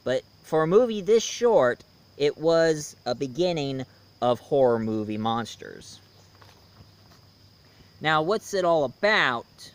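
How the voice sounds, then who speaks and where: Clear, higher-voiced man reviewing films casually. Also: animated, takes it slowly, long pauses. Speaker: reviewer, host. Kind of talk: casual rambling film review commentary.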